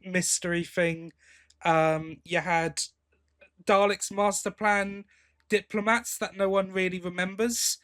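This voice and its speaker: Higher-voiced man chatting casually. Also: fairly even intonation, takes it slowly, a bit bright. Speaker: podcast co-host. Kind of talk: casual chat about audio drama releases.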